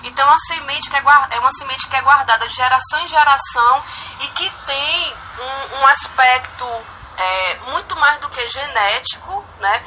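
A woman's voice: high voice, normal speed, background noise. A woman explaining as an expert interviewee, repeating herself and adding frequent tag questions. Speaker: agronomist interviewee. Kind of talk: spontaneous explanation.

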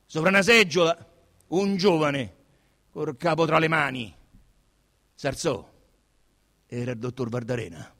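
A man narrating a literary text theatrically, with solemn, deliberate, dramatic phrasing. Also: fairly high pitch, pushed and bright. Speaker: narrator and actor.

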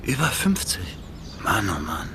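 A low-pitched man's voice over faint background noise, a petty thief and reluctant accomplice in a radio play. He is plain-spoken and cautious, hesitating and muttering his doubts in everyday colloquial speech. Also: wildly swooping pitch, measured pace, gravelly, exaggerated voice. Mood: astonished, wavering. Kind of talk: naturalistic German radio play dialogue.

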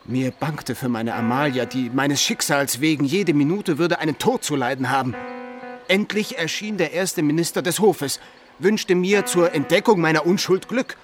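A man's voice: on the high side, moderate pace, faint music behind, natural voice. A man acting a proud, desperate, lovelorn young nobleman in a German radio play, speaking in earnest, impassioned monologue. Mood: anguished. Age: young adult.

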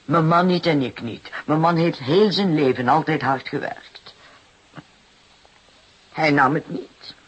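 A weary mother of a big working-class family speaks in a very rough, breathy voice. The delivery is plaintive and halting, with an aggrieved, emotional edge. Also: mid-range voice, rough recording. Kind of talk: Dutch radio drama acting.

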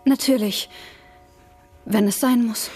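Husky, reluctant, prim young woman. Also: fairly high pitch, fairly even intonation, slow pace, darkish tone, soft background music. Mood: reluctant, troubled. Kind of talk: radio drama acting. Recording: long hall-like reverb.

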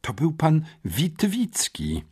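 A man's voice: medium pitch, wildly swooping pitch, measured pace, darkish tone, slightly rough, heavily acted. A man voicing a stern, domineering school headmistress in an audiobook, scolding with mocking sarcasm. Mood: indignant.

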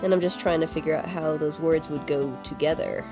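Informal woman podcast host, bit low pitched, chatty, quiet music in the back. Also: steady tone, a little fast. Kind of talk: casual podcast chat about video game music.

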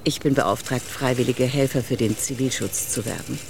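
An actor playing an eager, officiously polite civil defence recruiter in a radio drama, slightly hesitant. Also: male voice, mid-range voice, normal speed, gravelly, noisy background, lightly acted. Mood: polite, flustered.

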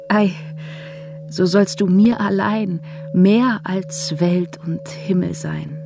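An actress playing a tender, devout believer, reciting devotional verse in a German radio drama in a hushed, intimate, partly whispered voice. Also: female voice, mid-range voice, creaky. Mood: tender longing. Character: devout woman believer, tender and sorrowful, in reverie.

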